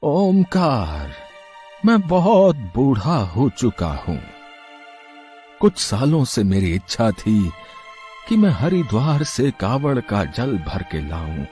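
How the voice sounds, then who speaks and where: Man acting a slow-spoken elderly village man. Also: medium pitch, dark tone. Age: old. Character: elderly village man, gentle and earnest patron. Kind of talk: Hindi animated moral story acting. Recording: music under the voice.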